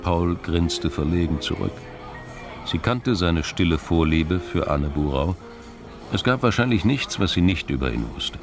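Very deep, gravelly male narrator. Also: unhurried, music in the background. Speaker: narrator of a radio crime drama. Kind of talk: scripted literary narration.

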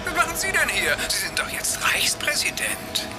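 Ein Schauspieler spielt in einem satirischen Hörspiel einen aufgeregten, pompösen abgesetzten deutschen Kaiser mit theatralischen Ausrufen. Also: male voice, very high-pitched, wildly swooping pitch, normal speed, over loud music, full character voice. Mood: astonished, haughty. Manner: theatrical, swooping exclamations. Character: excitable deposed German emperor, pompous and easily astonished. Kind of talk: satirical German radio play.

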